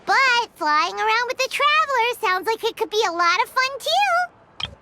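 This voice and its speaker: A woman's voice: very high voice, very animated, normal speed, pushed and bright, slight background noise, full character voice. A woman voicing a tiny, childlike, food-loving fairy companion in a video game, exclaiming about herself in the third person. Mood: eager.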